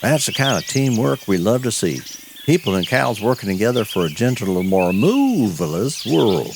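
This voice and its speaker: A man's voice: mid-range voice, noisy background. A man playing a friendly, folksy cowboy mayor welcoming listeners to his little cow town. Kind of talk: scripted audio story.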